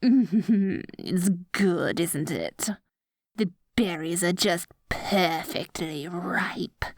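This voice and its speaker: Woman playing a slow-talking, dorky evil queen. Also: lowish voice, dry recording, clean studio sound. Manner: mock-villainous grandeur with awkward, stammering asides. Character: dorky evil sorceress queen, theatrical, petty, secretly soft-hearted. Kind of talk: ASMR roleplay, addressing the listener directly.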